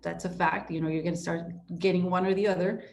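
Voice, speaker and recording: A woman's voice: on the low side, steady tone, fast, vocal fry, a little mellow, a little hiss or hum. A middle-aged woman from Ecuador, talking as a podcast guest in a passionate, emphatic way, full of restarts and "you know"s. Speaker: podcast guest, real estate broker. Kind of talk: conversational interview.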